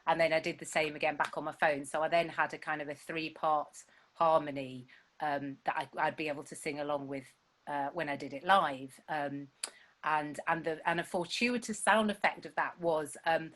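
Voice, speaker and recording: A woman's voice: deep voice, steady tone, moderate pace, a bit bright. A woman songwriter chatting casually as a guest, rambling and self-deprecating with lots of fillers. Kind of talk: casual chat.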